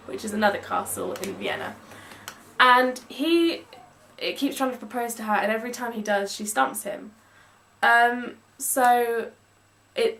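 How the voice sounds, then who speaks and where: A woman's voice: fairly high pitch, creaky, a bit bright. A young woman with an English accent chatting casually in a gushing, emphatic way, as in a book review vlog. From England. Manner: gushing, emphatic, with drawn-out stressed words. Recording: distant mic with some background noise.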